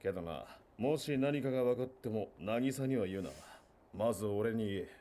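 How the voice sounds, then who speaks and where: A man voicing a brash, rough-talking, playful father in an anime. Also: lowish voice, fast talker, dark tone, close to an everyday voice. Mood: gruff, serious. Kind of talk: Japanese anime voice acting.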